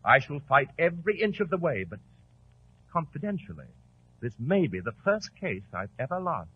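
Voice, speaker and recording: An actor in a 1940s radio drama playing a pompous defence barrister with a rhetorical, blustering delivery. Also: male voice, on the high side, sing-song, moderate pace, background noise. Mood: gloomy, rhetorical. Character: pompous male defence barrister, secretly guilty, indignant. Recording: muffled, tinny old radio recording.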